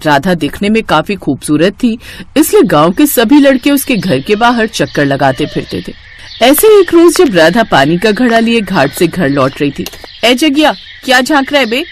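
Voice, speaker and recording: A woman's voice: mid-range voice, sing-song, normal speed, a little hiss or hum. A woman reading scripted narration for a comic moral tale, as a storyteller.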